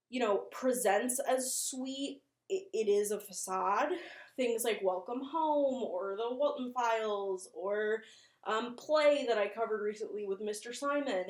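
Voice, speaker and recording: Chatty woman in an echoey room. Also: a little high. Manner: chatty, rambling, self-deprecating. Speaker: host of a horror video channel. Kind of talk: casual video commentary. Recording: roomy, echoing room sound.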